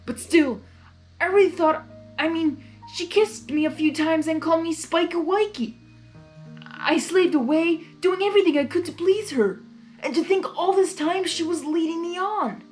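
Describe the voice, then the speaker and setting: A woman's voice: very high voice, normal speed, darkish tone, soft background music, voice pushed up. A woman voicing a young male dragon in a storybook reading, lovesick, sulky and eager, with an earnest, whiny way of talking. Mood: sulky, hurt.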